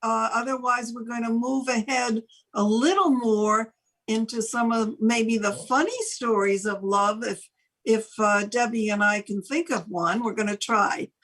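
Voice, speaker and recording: Older woman, host, unhurried pace, slightly high voice, casual interview chat. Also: fairly even intonation, a bit bright, low-quality recording.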